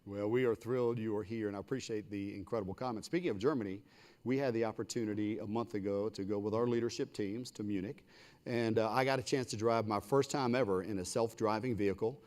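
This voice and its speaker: A man's voice: on the low side, fast talker, darkish tone. A man with an American accent speaking as a university leader on a public panel, semi-formal and enthusiastic, in short punchy phrases.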